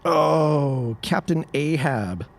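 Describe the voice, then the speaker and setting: An actor playing a friendly, earnest, folksy high school boy in a period audio drama. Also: lively intonation, very slow, creaky, heavily acted. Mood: curious. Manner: eager, folksy.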